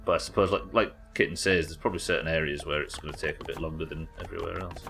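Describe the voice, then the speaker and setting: A man's voice: very deep voice, steady tone, fast talker, gravelly, a bit bright, soft background music. A young British man hosting a live gaming stream, casually rambling and reading chat messages aloud as he plays. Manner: rambling, reads chat messages aloud and muses over them. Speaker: streamer host.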